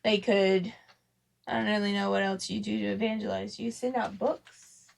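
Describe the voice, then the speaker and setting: A woman's voice: mid-range voice, level tone, moderate pace, gravelly. A woman co-hosting a podcast in casual conversation, rambling a little as she thinks aloud. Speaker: podcast co-host. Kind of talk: casual podcast chat about family devotions.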